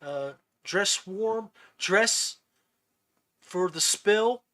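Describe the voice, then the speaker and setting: Male podcast host, high-pitched, bright forceful voice, casual, folksy, buddy-like. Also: extremely slow. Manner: folksy, buddy-like, says brother and man. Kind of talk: casual chat.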